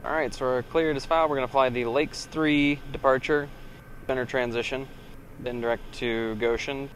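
Young pilot talking through a cockpit. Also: male voice, mid-range voice, normal speed. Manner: relaxed, talks viewers through each step. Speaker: pilot vlogger. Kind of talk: casual cockpit narration and radio calls. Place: small aircraft cockpit. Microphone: aviation headset. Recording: engine noise behind.

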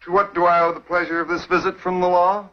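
An actor playing a slick young counterfeiter. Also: male voice, high-pitched, little pitch movement, fast, close to an everyday voice. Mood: glib. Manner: glib, evasive. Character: slick smooth-talking male counterfeiter. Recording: dull old TV soundtrack with faint hiss.

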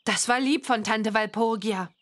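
A woman's voice: fairly high pitch, unhurried, a little hiss or hum, close to an everyday voice. A woman voicing a cheeky, cheerful young girl witch in a children's computer game, exclamatory and sing-song. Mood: fondly reminiscing. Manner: bright, exclamatory, sing-song when chanting spells.

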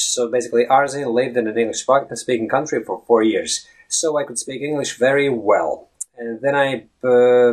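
Chatty Latvian man vlogging to webcam. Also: medium pitch. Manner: chatty, self-correcting, stretches words for emphasis. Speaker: English fluency blogger. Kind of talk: casual video blog advice. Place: indoors, small room. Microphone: webcam at head-and-shoulders framing.

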